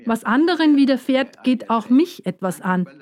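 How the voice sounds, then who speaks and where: A woman giving a measured, earnest first-person voice-over for a documentary. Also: high voice, animated, a little mellow. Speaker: voice-over speaker.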